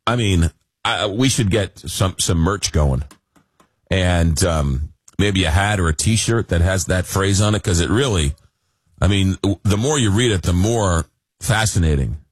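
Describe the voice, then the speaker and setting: A man's voice: low voice, unhurried, slightly rough, no echo. A man hosting a late-night call-in radio show while fighting a cold. He talks in a rambling, casual way with many fillers and dry jokes. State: fighting a cold, losing his voice.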